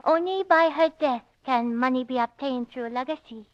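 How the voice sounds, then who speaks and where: A woman plays a loyal foreign companion to a rich mistress in an old radio drama. Her high voice is earnest and formal, with articles dropped. The sound is dry and muffled. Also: moderate pace, no room sound, close to an everyday voice. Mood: anxious, pleading. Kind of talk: 1940s radio drama acting. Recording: dry, dull vintage 1940s radio recording.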